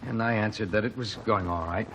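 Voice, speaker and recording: A man playing a hesitant assistant stage manager testifying in a 1949 radio drama, plain-spoken and halting. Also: fairly low pitch, fairly bright tone, a little hiss or hum. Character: Tom Kalish, nervous young assistant stage manager. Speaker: witness. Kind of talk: 1940s radio drama acting.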